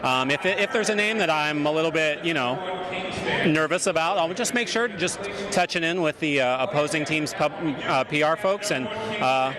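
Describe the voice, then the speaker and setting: A man's voice: on the high side, moderate pace. A man from California, an arena announcer, talking casually and jokingly in an interview. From Sacramento, California. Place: courtside in a busy sports arena. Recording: clear crowd noise behind.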